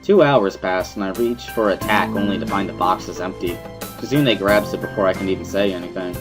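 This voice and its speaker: A man reading first-person visual novel narration in a measured, reflective way, as an amateur dramatic reading. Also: deep voice. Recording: music behind the voice.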